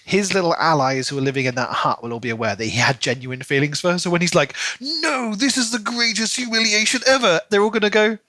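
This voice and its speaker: Bright, higher-pitched man chatting about books. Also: fast, hardly any pauses, no echo. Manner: animated retelling, acts out imagined dialogue in asides. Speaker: podcast co-host. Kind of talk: casual book discussion chat.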